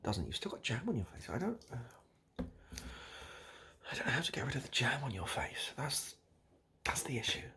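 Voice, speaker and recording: A British man doing improvised, absurd comedy straight to the listener in a hesitant, repetitive, deadpan ramble. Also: mid-range voice, normal speed, long pauses, a little hiss or hum. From United Kingdom. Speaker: comedic video host. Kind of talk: improvised absurd comedy roleplay addressed to the viewer.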